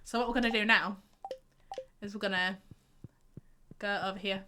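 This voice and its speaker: A woman's voice: mid-range voice, fairly even intonation, normal speed, sharp tone, a little hiss or hum. A young woman with a light Yorkshire accent, chatting and sighing playfully while streaming a game. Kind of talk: casual gameplay commentary.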